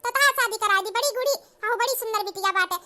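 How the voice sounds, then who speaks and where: Woman playing a very high-pitched scornful old mother-in-law. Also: rapid-fire, pushed and bright, full character voice. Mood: coaxing, scheming. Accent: Awadhi. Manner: scolding, disparaging. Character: scornful, scheming elderly village mother-in-law. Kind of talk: cartoon comedy acting.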